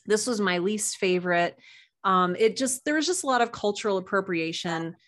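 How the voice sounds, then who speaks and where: A woman's voice: medium pitch, moderate pace, a bit bright. A woman chatting casually and informally as an interview guest, with emphatic little asides. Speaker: podcast guest, author.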